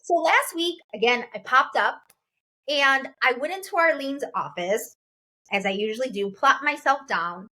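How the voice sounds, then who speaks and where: A woman's voice: sits high, normal speed. A woman in her forties from Chicago, hosting a casual interview chat and often echoing her guest's words. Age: 40s. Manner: lively, repeats and echoes her guest. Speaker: host.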